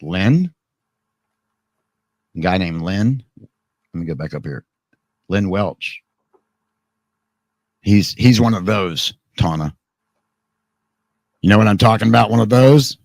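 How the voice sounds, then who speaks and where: A man hosting a live radio talk program, his voice worn hoarse, chatting casually and jokingly with many restarts. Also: on the low side, animated, slow pace, pauses often, a little mellow, dry recording.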